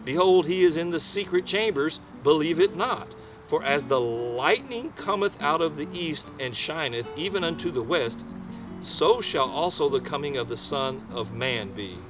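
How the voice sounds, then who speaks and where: Man reading scripture over clear guitar music. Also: on the high side, animated, moderate pace, poor audio. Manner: emphatic when reading scripture, plainspoken and casual in asides. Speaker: amateur Bible reader. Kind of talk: Bible reading with informal spoken asides. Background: plucked guitar music.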